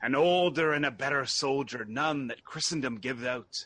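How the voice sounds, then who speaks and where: A man playing an earnest exiled prince in a Shakespearean radio drama, declaiming verse with a husky voice. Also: medium pitch, fairly bright tone, close to an everyday voice. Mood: hopeful. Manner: deliberate, declamatory verse delivery. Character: Malcolm, earnest exiled Scottish prince rallying for war.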